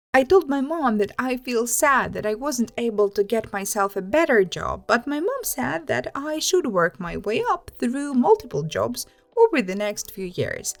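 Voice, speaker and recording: A woman hosting a story channel, reading first-person written accounts aloud and adding casual commentary. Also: on the high side. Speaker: host reading online stories with commentary.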